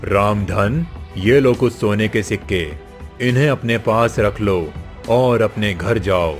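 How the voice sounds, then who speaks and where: A man puts on an elderly cartoon king, grave yet kind. The voice is low and very measured, giving each phrase solemn weight over faint music. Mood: kindly, solemn. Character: kind, grave old king on his throne. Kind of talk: Hindi moral-story cartoon dialogue.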